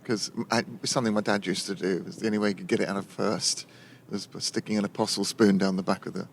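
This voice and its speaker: A man's voice: fairly low pitch, level tone, fast talker, vocal fry, slight background noise. A man, a novelist chatting in an interview, digressive and full of restarts. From London. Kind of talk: casual interview conversation.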